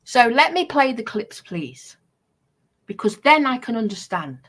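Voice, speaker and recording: A woman's voice: fairly high pitch, very animated, unhurried, a bit bright. A British woman streamer talking casually and unscripted, in a defensive, insistent manner. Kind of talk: casual unscripted livestream talk.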